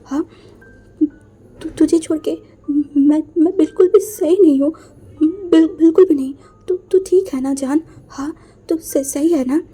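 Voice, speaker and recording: A man's voice: extremely high pitch, steady tone, measured pace, dark tone, faint music behind. A woman voicing a lovesick, heartbroken young man who stammers through tearful pleas. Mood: anguished. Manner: stammering, pleading.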